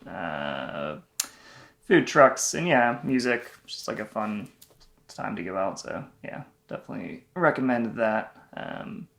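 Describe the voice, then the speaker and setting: A man's voice: on the low side, sing-song, takes it slowly, vocal fry, fairly bright tone. A young man co-hosting a travel vlog, chatting casually and rambling with lots of fillers. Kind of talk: casual chat.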